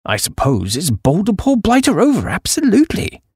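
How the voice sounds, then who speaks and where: A man voicing a flighty young upper-class English gentleman, breezy and exclamatory, with a posh British accent. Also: high voice, wildly swooping pitch, brisk, husky, dry recording, clean studio sound, voice pushed up, exaggerated voice. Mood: alarmed, dismayed.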